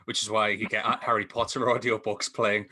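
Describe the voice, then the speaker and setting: A man on a podcast video call casually discussing films in a halting, enthusiastic way. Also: on the low side, little pitch movement, bright, forceful tone, slightly rough. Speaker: podcast reviewer.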